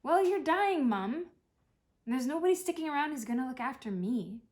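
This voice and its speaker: A woman's voice: high-pitched, lively intonation, moderate pace, darkish tone, pitched above the performer's own voice. Woman reading as blunt, wounded grown daughter. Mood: anxious, bitter. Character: anxious, wounded grown daughter of dying mother, sardonic. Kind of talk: dramatic play reading.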